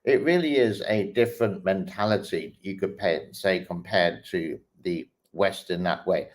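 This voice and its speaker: Casting: deep-voiced male host, unhurried, halting reaction commentary. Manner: slow, hesitant, many ums and restarts. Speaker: host reacting to online clips. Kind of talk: casual commentary while scrolling a feed.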